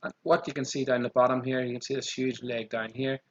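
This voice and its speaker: A man with a strong Irish accent walking through trading charts quickly, often saying "okay" as he goes. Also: medium pitch, level tone, dull audio. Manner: quick, repeats 'okay' as a filler. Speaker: trading channel host. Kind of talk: screen-share chart walkthrough.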